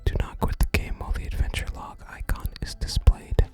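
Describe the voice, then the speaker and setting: A person whispering softly while hosting an ASMR video game playthrough and reading game text aloud. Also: brisk. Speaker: host of a gaming ASMR channel. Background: game music.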